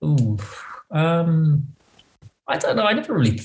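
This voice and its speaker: A man speaking as a podcast guest in casual conversation, thoughtful and halting, often rephrasing as he goes. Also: a little high, big pitch swings, darkish tone, lo-fi sound. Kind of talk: casual interview chat.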